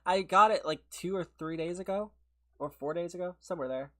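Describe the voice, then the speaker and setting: Guy on a game stream, bit sick and sniffly, kinda high voice, rambly and laughy. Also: normal speed, sharp tone. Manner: chatty and rambling, laughs often, answers chat. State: sick, sniffly, voice a little worn. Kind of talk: casual livestream chat while gaming, replying to viewers.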